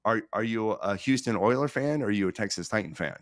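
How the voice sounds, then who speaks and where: A middle-aged American man speaking as a podcast guest, telling stories conversationally in an earnest, emphatic way, repeating phrases and pausing for effect. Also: on the low side, expressive, dry recording, clean studio sound. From Pittsburgh, United States. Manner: earnest, emphatic, with repetition and dramatic pauses.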